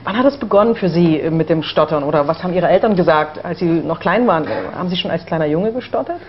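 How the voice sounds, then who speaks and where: A woman hosts a studio talk show, firing off questions at a brisk clip in a fairly low voice. She sounds engaged and keeps the conversation moving. The broadcast sound is slightly dull, with a faint hiss behind it. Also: lively intonation. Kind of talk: studio interview.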